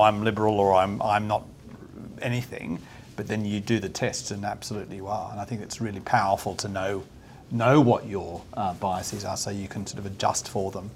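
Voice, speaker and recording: A man's voice: fairly low pitch, a little hiss or hum. A man speaking earnestly on a panel discussion, in stops and starts. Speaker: round table panelist.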